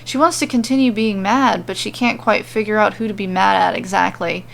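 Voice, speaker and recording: A woman's voice: mid-range voice, fairly even intonation, a little fast, gravelly, a bit bright. A woman hosting and narrating a story podcast in an informal, conversational way. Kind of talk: casual chat and expressive story narration.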